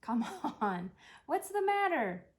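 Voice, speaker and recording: Woman reading as gentle, wry dying older mother. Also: high-pitched, very animated, slow, voice pushed up, full character voice. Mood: gently coaxing. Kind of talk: dramatic play reading.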